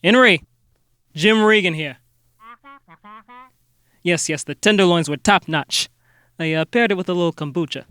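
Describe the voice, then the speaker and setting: An actor playing an earnest, polite small-town amateur detective who talks in a halting, folksy way, in a comic radio-drama style. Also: male voice, sits high, bright, forceful tone, clean tone. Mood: chatty, obliging.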